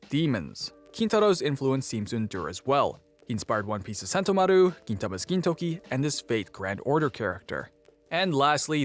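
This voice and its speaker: A man, a native Cantonese speaker, narrating a scripted video essay in a wry, self-deprecating way. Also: medium pitch.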